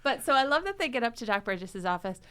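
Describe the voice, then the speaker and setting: A woman hosting a podcast in casual, animated chat, often slipping into quoted dialogue. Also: on the high side, quick pace, smooth. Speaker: podcast host.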